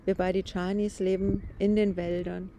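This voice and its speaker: A woman speaks as a gentle gelding in a meditation story, reflective and plain-spoken. The voice is very dark and mellow. It is recorded outdoors, with birds and faint noise behind it. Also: medium pitch, level tone, normal speed, natural voice. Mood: calm, reflective. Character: gentle twelve-year-old gelding horse telling his life. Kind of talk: first-person meditation storytelling.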